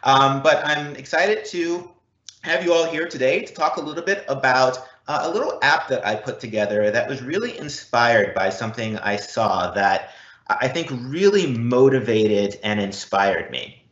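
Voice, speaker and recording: A man's voice: mid-range voice, lively intonation, normal speed, a bit bright. A man giving a webinar presentation as a technical speaker. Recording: roomy echo, slightly dull.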